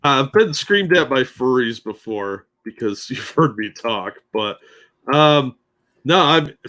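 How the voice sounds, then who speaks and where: A man's voice: mid-range voice, animated, takes it slowly, a bit bright. A man co-hosting a podcast in casual chat, making dry wisecracks. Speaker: podcast co-host.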